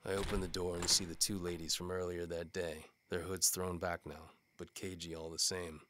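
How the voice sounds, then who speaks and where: A man playing a cynical, world-weary knight-for-hire detective, narrating a hardboiled noir audio drama in a deadpan, wisecracking way. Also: sits low, normal speed, a little hiss or hum. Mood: weary, wry.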